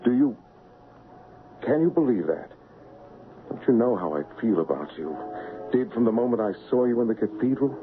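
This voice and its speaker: An actor playing an honorable, lovesick stand-in king in a radio drama, speaking and narrating in an earnest, formal, measured way. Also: mid-range voice, big pitch swings, lightly acted. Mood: anguished. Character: lovesick honorable English royal stand-in, noble and troubled. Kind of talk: radio drama acting and first-person narration. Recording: muffled vintage radio broadcast, music behind.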